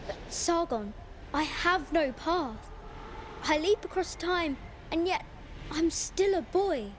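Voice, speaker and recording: A woman's voice: very high voice, slow. A woman voicing a lost, grieving young boy in a video game, earnest and plaintive. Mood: sad, troubled. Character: grieving, lost young boy who leaps through time. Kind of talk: dramatic video game acting. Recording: noisy ambience behind the voice. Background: loud ambient noise.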